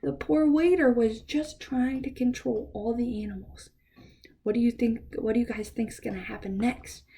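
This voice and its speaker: A woman reading a picture book aloud to children in an expressive way, pausing to ask her listeners questions. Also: on the high side, gravelly, slight background noise. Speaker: read-aloud storyteller for children.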